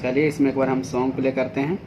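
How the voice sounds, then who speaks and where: A man's voice: mid-range voice, flat delivery, quick pace. Indian man demonstrating, noisy recording. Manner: informal, repetitive step-by-step explaining. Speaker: product reviewer and video host. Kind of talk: unboxing demonstration narration. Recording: clearly noisy amateur recording.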